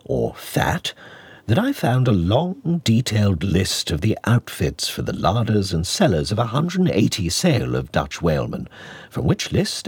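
A man reading a classic novel as an audiobook narrator, in a measured, expressive, mock-scholarly manner. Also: on the low side, breathy edge, no echo. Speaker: audiobook reader.

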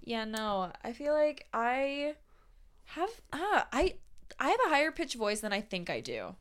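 Giggly young woman, chatty, kinda high voice, smooth and clear. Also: sing-song, a little slow. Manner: bubbly, giggly, lots of "like". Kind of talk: casual chat.

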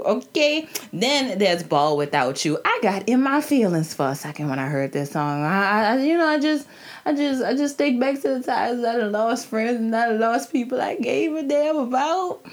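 A woman from the New Orleans area with a local accent, reviewing a rap album in a chatty, slang-filled solo podcast. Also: sits high. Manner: chatty and animated, frequent restarts and slang.

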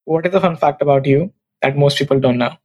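Podcast host, slightly high voice. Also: male voice, quick pace, dull audio. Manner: prepared, reads out questions. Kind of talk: interview questions.

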